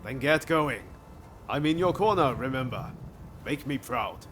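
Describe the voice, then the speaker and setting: A man's voice: medium pitch, expressive, unhurried, over music, lightly acted. An actor playing an eager, friendly swordsman in a video game, informal and encouraging to his comrade. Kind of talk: video game cutscene acting.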